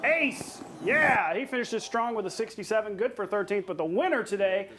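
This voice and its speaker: A man anchoring a television sportscast in a playful, conversational way, reading highlights and bantering with a co-host. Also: expressive, normal speed, pushed and bright, slight background noise. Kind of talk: upbeat scripted sportscast narration with banter.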